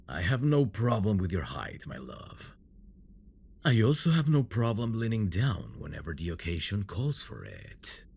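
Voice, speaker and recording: Man acting a very deep, slow fantasy villain. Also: a little hiss or hum, full character voice. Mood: amused, adoring. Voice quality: low gravelly murmur, often dropping to breathy whispers. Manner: slow, teasing, seductive delivery. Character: male fantasy villain, possessive, teasing, devoted to his bride. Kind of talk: intimate ASMR roleplay audio drama.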